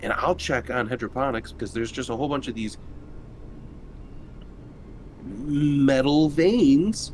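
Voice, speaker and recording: A man's voice: mid-range voice, slow pace, pauses often, darkish tone, low-quality recording. A man taking part in a tabletop roleplaying game as a player, chatting casually in and out of character. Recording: faint background noise.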